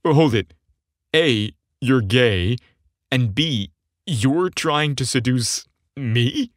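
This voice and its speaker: Man reading earnest, hesitant young romance hero, slow-paced. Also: medium pitch, animated, no room sound, clean studio sound. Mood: stunned. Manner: hesitant, sincere. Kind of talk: audiobook dialogue.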